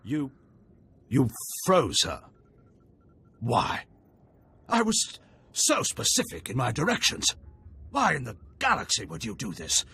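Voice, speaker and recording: A formal aristocratic father, husky and lively in pitch as he lets his exasperation show. His speech is refined and measured. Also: heavily acted.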